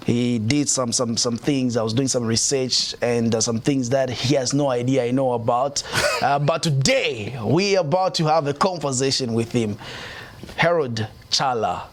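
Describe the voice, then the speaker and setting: A man hosting a casual interview, playful and quick to laugh. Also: medium pitch. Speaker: show host.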